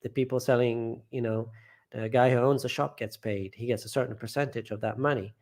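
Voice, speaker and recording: Man hosting a relaxed home live stream, mid-pitched voice, reads out chat comments, meanders and restarts his sentences. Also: fairly quick. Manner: rambling, often trailing off and restarting. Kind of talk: casual live chat, reading viewer comments aloud.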